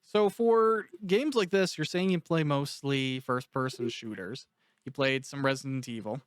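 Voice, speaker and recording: A man's voice: mid-range voice, a little slow, smooth, dry recording, studio quality. A man hosting a casual interview, musing informally as he puts questions and follows ideas.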